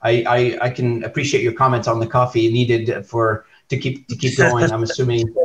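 A man's voice: medium pitch, little pitch movement, normal speed, noisy background. A man hosting a podcast interview, speaking hesitantly with many fillers and restarts. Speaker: podcast host. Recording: roomy, distant, dull sound.

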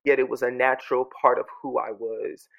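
Congested man musing with a gravelly edge. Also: medium pitch, level tone, fairly quick, lo-fi sound. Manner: soft, earnest, musing with many restarts. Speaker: podcast host journaling aloud. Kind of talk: reflective personal audio journal. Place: his home. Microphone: own studio microphone.

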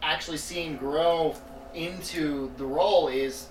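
A man's voice: fairly high pitch, fairly even intonation, slow pace, over a noisy crowd. Man talking roomily, off the mic. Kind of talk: casual opinionated discussion. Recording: roomy, far from microphone, faint noise.

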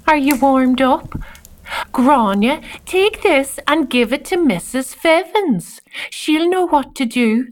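A woman's voice: sits high, animated, slow. An actress with an Irish accent playing an eccentric, kindly northern wise woman in a children's audio drama, exclaiming and muttering to herself. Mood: brisk, urging.

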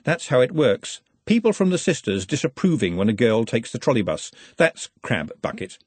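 Guy doing a no-nonsense cook lady in a storybook, plain-spoken, slightly husky. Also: mid-range voice, big pitch swings, moderate pace, a little mellow, no room sound. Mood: musing. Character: practical working woman cook, firm and guarded.

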